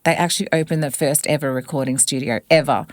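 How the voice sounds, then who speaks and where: West papuan woman in her 40s, english not her first language, low voice, earnest storytelling, dry mic. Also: fast talker, no room sound. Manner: earnest, reflective, animated on family memories. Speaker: singer-songwriter guest. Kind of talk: personal storytelling in an interview.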